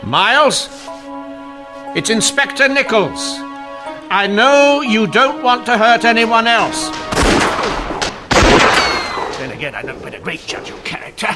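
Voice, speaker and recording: An actor playing a shrewd, dryly witty police inspector in a radio mystery drama, speaking in a measured, deliberate way. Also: male voice, extremely high pitch, sing-song, over loud music, exaggerated voice. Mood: urgent. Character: shrewd, dryly wry male police inspector in 1890s Canada. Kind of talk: old-time radio mystery drama acting.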